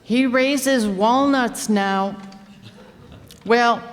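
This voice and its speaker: Scatterbrained, good-natured female chatterbox for radio comedy, played by a woman, guileless and unhurried, in a reverberant hall. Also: on the high side. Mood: chatty. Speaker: actor in radio comedy play. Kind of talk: old-time radio comedy acting. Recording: echoey hall, live stage performance.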